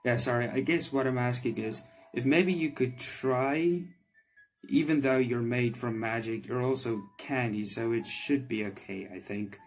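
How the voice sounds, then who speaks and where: A man's voice: medium pitch, measured pace, close to an everyday voice. A man voicing a flustered, kind-hearted young male magician from a game, hesitant and apologetic, with music behind. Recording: background music under the voice.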